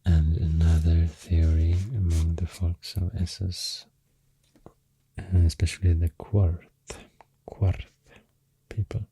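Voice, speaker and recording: A man with a Swedish accent speaking softly and breathily, often close to a whisper, in a soothing ASMR ramble, close to the microphone. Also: monotone, poor audio. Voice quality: deep, soft, breathy, often near whisper. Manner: slow, hushed, soothing. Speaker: ASMR host. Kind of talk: soft-spoken ASMR rambling.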